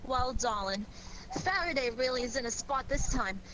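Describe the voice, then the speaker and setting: A woman's voice: fairly high pitch, expressive, moderate pace, close to an everyday voice. An American woman playing a detective's curious, affectionate companion in a playful, eager manner, in 1940s radio style. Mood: concerned. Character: sleuth's bright, affectionate, curious lady companion. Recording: noisy vintage 1940s radio recording.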